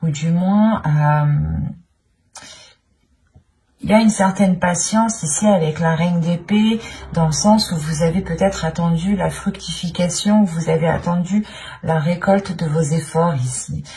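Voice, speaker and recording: A woman's voice: on the low side, normal speed, mellow. A woman giving an improvised tarot reading as a channel host, speaking in a searching way and often repeating her phrases.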